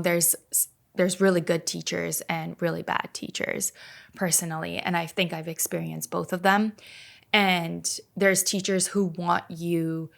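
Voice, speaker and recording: A woman's voice: lowish voice, vocal fry. A woman in her thirties, a native Slovak speaker raised in Canada, chatting casually as a podcast guest with plenty of filler words. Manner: relaxed, many "like" fillers. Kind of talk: casual conversation.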